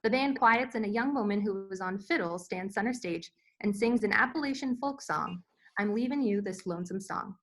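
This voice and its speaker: A woman's voice: mid-range voice, moderate pace, rough recording. A woman reading screenplay stage directions as scripted narration for a table read.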